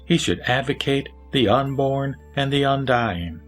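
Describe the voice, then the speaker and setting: A man's voice: mid-range voice, a little slow, faint music behind, voice pushed up, close to an everyday voice. A man voicing a wise lay teacher who answers solemnly and formally in a scripture audio drama. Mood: solemn teaching. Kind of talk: audio drama sutra recitation.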